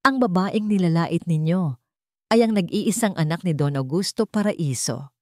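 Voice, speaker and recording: A woman's voice: fairly low pitch, expressive, normal speed, dark tone, no echo, clean studio sound, close to an everyday voice. Woman acting an angry, protective rich husband. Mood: firm defiance. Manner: firm, seething with restrained fury. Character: angry, protective billionaire husband, adult man.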